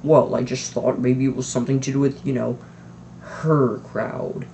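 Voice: mid-range voice, flat delivery, normal speed, dark tone, a little hiss or hum, natural voice. Reader doing a nervous middle-aged husband, mumbling and hesitant, storybook voice. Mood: uneasy. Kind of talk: storybook character voice.

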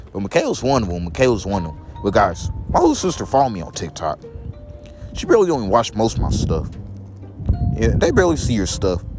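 A young St. man talks straight to his viewers in a loose, rambling way, looping back over the same phrase again and again. His pitch jumps all over the place, climbing high and then dropping low. Music plays clearly behind him. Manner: repeats each phrase several times, keeps addressing viewers as "guys". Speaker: vlogger. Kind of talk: rambling casual monologue to viewers. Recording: music playing in the background.

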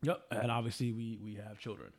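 A man's voice: lowish voice, fairly even intonation, fairly quick. A man in his thirties co-hosting a casual podcast, talking bluntly with teasing, slangy remarks. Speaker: podcast co-host. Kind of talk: casual chat.

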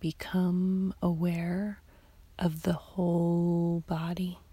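Very slow, breathy woman guiding sleep meditation. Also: fairly low pitch, flat delivery, no room sound. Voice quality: soft, breathy, half-whispered. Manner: slow, soothing, long pauses between short phrases. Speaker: meditation guide.

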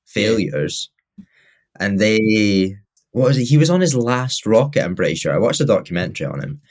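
Low-pitched man as podcast co-host, loose, rambling and self-correcting. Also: animated, moderate pace, a little mellow. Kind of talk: casual chat.

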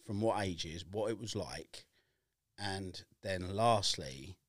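A man's voice: low voice, lively intonation, takes it slowly, no room sound, studio quality. A man hosting a podcast interview, chatty and jokey, asking long rambling questions with lots of fillers. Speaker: podcast host. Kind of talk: casual interview chat. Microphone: video podcast setup with camera.

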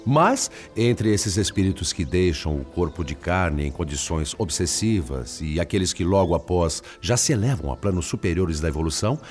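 An actor with a Brazilian accent playing a weary, self-doubting spirit in a radio drama, earnest and melancholy in delivery. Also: male voice, low-pitched, lively intonation, moderate pace, hardly any pauses, a little mellow, soft background music. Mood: humble, thoughtful.

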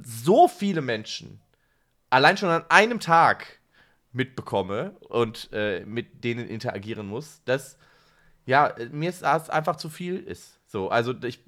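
A man's voice: medium pitch, a bit bright. A man chatting casually as a podcast co-host, self-ironic and joking, given to playful exaggeration. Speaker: podcast co-host, social worker and workshop leader. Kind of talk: casual conversational podcast chat.

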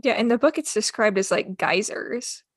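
A woman with a fairly high voice co-hosts a casual book discussion. She sounds conversational and is recorded close, with almost no room sound. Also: expressive, normal speed, no echo. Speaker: podcast co-host.

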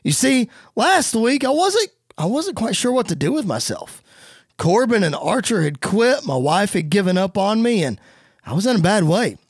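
A man's voice: high voice, sing-song, normal speed, husky, dry recording, studio quality, exaggerated voice. A man playing a smug, showy wrestling villain giving a pompous, theatrical speech to the crowd in a wrestling story. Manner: pompous, theatrical promo delivery.

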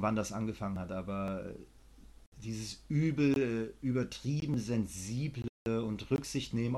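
A man's voice: mid-range voice, gravelly, darkish tone. A middle-aged man chatting casually on a podcast, rambling with many fillers and blunt, opinionated remarks.

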